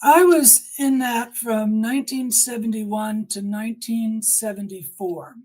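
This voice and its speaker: An older woman giving personal testimony in conversation as an interview guest, speaking emphatically with deliberate repetition. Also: on the high side, darkish tone.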